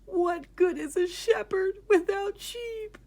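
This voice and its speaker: A woman's voice: very high-pitched, slow, darkish tone, voice pushed up, heavily acted. A woman voicing an aggrieved, defensive male shepherd in a children's story, complaining and wailing. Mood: wailing despair. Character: aggrieved, defensive shepherd man, sorry for himself.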